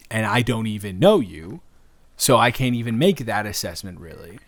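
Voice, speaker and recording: A man's voice: lowish voice, expressive, normal speed. A man improvising an audio roleplay as a friendly, slightly awkward young stranger, talking casually and earnestly to the listener with stammered restarts. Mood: earnest, hesitant.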